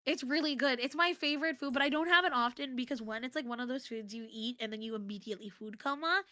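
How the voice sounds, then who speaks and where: A woman's voice: sits high, fast, pushed and bright, dry recording, clean studio sound. An American woman chatting casually and expressively as a guest on an interview stream. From United States. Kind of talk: casual chat.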